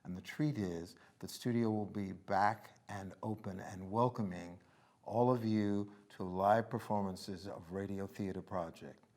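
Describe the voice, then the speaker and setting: Low-voiced man introducing a show. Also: steady tone, unhurried, darkish tone. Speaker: theatre company presenter.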